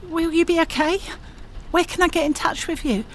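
High-voiced anxious loyal young woman played by an actress. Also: moderate pace, fairly bright tone. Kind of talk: radio thriller acting. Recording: faintly noisy radio drama recording.

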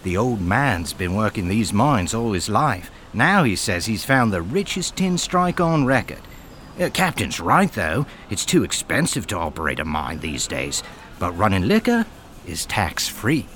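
An actor playing a friendly, gossipy working-class smuggler with a matey, chatty way of talking, in a radio drama. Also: male voice, on the low side, big pitch swings, unhurried, fairly bright tone, a little hiss or hum. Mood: matey, wry.

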